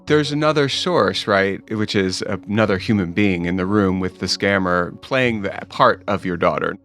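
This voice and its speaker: A middle-aged man hosting a conversational interview, halting and repeating himself as he forms his questions. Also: low-pitched, fairly bright tone. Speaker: podcast host.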